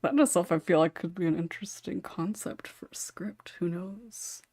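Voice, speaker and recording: A woman's voice: lowish voice, normal speed, a little mellow, no echo. A woman with a chatty, giggly, informal manner, hosting a casual panel discussion. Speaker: podcast host and writer.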